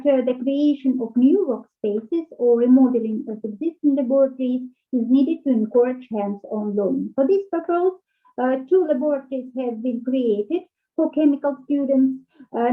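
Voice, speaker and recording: A woman's voice: high voice, moderate pace. A woman from Russia, whose first language is Russian, presents her university work carefully, hesitating with fillers. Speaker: conference presenter. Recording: tinny phone-like audio.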